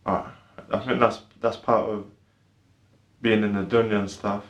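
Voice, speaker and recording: A young man with a low, level voice speaks hesitantly as a podcast guest, trailing off and filling gaps with "like". He was born in Ireland and grew up in the UK. The sound is echoey, as if recorded in a bare room. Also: flat delivery, a little slow, highs cut off. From born in Ireland, raised in the UK. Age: young adult. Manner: hesitant and soft-spoken, many fillers. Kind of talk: casual conversation.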